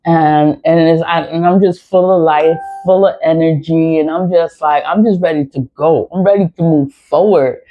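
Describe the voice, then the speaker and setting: A woman's voice: deep voice, fairly even intonation, moderate pace, few pauses. A woman in her forties ranting bluntly to her viewers, swearing often and hammering her points with repeated phrases. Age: 40s. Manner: repetitive, emphatic phrasing. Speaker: spiritual vlogger. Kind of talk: unscripted monologue to viewers. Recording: dull lo-fi recording.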